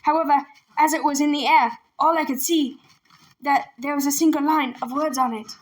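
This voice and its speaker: An anxious court emissary read by a woman, high-pitched and eager to obey. He explains himself apologetically, as in a storybook tale. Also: steady tone, normal speed, lightly acted. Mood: fearful. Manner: apologetic, deferential. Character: anxious, obedient male emissary of a magistrate.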